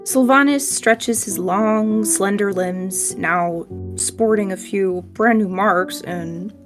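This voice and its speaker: A woman playing a tabletop game, narrating her character's actions in descriptive third person. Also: medium pitch. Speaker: player.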